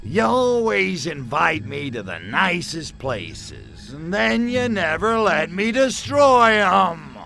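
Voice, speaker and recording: Give it the sound of a swaggering game alien. The voice is gravelly and lively, drawling out sardonic slang at an unhurried pace. He is brash and trigger-happy, with music playing underneath. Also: sits high, full character voice. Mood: brash, impatient. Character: cocky wisecracking male alien invader, brash and trigger-happy. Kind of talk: video game cutscene acting.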